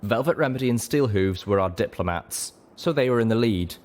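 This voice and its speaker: A man reading a dramatic story as an audiobook narrator, measured, solemn and emotive. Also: on the low side, animated, a little mellow. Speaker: audiobook reader.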